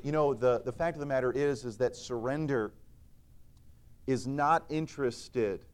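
A man preaching a sermon in a church, earnest and emphatic, swinging between loud and soft, and reciting scripture in old-fashioned wording. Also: mid-range voice, clean tone.

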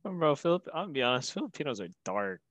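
A man's voice: a little high, very animated, quick pace, a bit bright, no room sound. A young man co-hosting a podcast, trading casual, swearing, joking banter. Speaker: podcast co-host.

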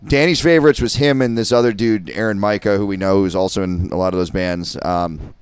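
Male podcast co-host, low, clean dry voice, rambling and profane. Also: fairly quick, clear, resonant tone, dry recording. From Rochester, New York. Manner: profane, rambling, enthusiastic about local bands. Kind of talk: casual chat.

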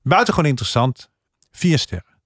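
A man's voice: medium pitch, big pitch swings, a little fast, no room sound, studio quality. A man giving a casual spoken review on a panel, in a hesitant, piecemeal way.

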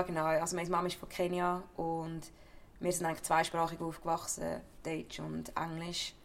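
A young woman with a Swiss German accent, an actress chatting casually as an interview guest, lively and laughing, sometimes dropping to a soft near-whisper. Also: lowish voice, vocal fry. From Switzerland.

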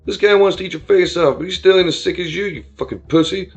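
A man voicing a crude, volatile young frat-bro bully in an audio story, loud and slangy with menacing taunts. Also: high-pitched, fast talker, slightly rough, highs cut off, faint music behind, voice pushed up. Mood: furious, disgusted.

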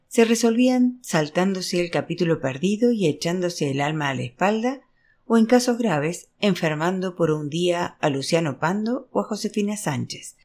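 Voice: medium pitch, darkish tone. A narrator reading literary fiction aloud as an audiobook, in a scripted storytelling style. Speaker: audiobook reader.

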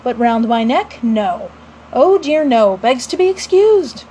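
A woman's voice: high-pitched, lively intonation, a little fast, a little mellow, slight background noise, poor audio. Woman playing a pompous, blustering storybook father. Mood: sarcastic rage. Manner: emphatic, sarcastic, declamatory. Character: pompous, blustering father, melodramatically exasperated, middle-class Edwardian man.

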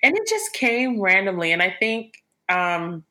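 A young woman co-hosting a casual chat podcast, telling animated stories and speaking straight to listeners. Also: mid-range voice, a little slow. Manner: animated storyteller, addresses listeners as y'all. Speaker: podcast co-host.

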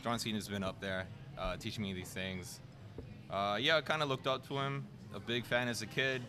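A man's voice: on the low side, steady tone, normal speed. A man chatting casually and playfully, laughing often and using slang. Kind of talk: casual chat.

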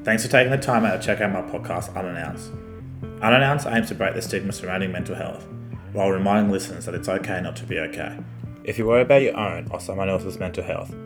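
A man hosting an interview podcast, talking in a relaxed, hesitant way with plenty of fillers. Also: low-pitched. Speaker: podcast host. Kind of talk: interview podcast, casual chat. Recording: music bed under the intro.

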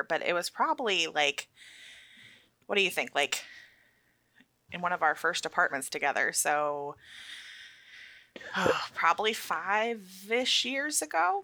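Female podcast guest, bright and lively, easygoing and unsure in her answers; dry recording. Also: lowish voice, unhurried, no room sound. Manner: relaxed, unsure answers with fillers. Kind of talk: casual interview chat.

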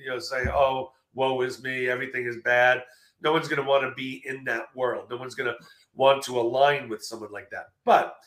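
Hoarse energetic man in his fifties. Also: mid-range voice, normal speed, roomy sound, poor audio. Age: 50s. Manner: energetic storytelling with fillers. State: losing his voice. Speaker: guest, marketing speaker. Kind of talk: casual interview chat. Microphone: video call.

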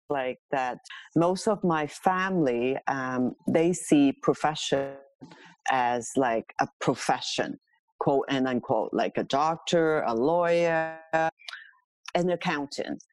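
A woman's voice: deep voice, a little mellow. A middle-aged woman from Hong Kong telling her own story casually and candidly as a podcast guest. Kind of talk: casual autobiographical interview talk.

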